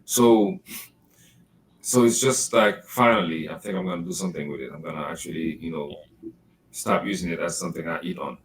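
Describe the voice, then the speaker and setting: A man's voice: low voice, a little fast, poor audio. A man co-hosting a podcast, chatting casually in a rambling, profane, laid-back way. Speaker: podcast co-host. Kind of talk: casual chat.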